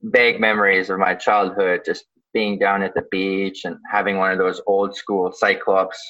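A man from Canada, born in Ukraine, answering interview questions casually, informally and haltingly as he thinks aloud. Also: low voice, flat delivery, bright, forceful tone. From born in Ukraine, grew up in Canada. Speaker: guest, underwater photographer and dive professional. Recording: slightly dull, lo-fi sound.

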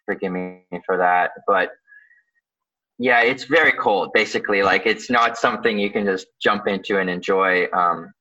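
A man from Canada, born in Ukraine, answering interview questions casually, informally and haltingly as he thinks aloud. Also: sits low, bright, forceful tone. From born in Ukraine, grew up in Canada. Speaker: guest, underwater photographer and dive professional. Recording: slightly dull, lo-fi sound.

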